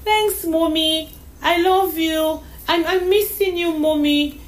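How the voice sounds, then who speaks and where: A woman's voice: very high voice, steady tone, takes it slowly, voice pushed up. A woman voicing a shy, sweet young girl who stammers and repeats her words. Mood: eager, hesitant.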